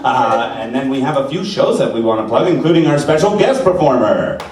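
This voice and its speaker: A man's voice: medium pitch, expressive, brisk, recorded from a distance, very noisy. A man narrating and announcing a live radio play with dramatic flair. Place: large rehearsal hall before an audience. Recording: very echoey hall, music, loud audience.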